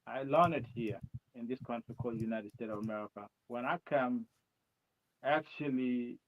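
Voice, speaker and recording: Man, South Sudanese accent, mid pitch, halting and repetitive, muffled lo-fi recording. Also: slight background noise. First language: his mother tongue and Arabic. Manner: halting, many restarts and fillers. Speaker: guest, presidential candidate. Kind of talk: interview answers. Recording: dull lo-fi sound.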